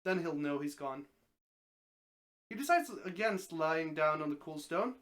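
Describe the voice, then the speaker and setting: A mid-range voice reads a story aloud in a live, dramatic style. The narration is expressive, and the reader now and then trips over a phrase and takes it again. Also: medium pitch, measured pace. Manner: expressive narration, occasional stumbles and repeated phrases. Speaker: streamer reading a story aloud.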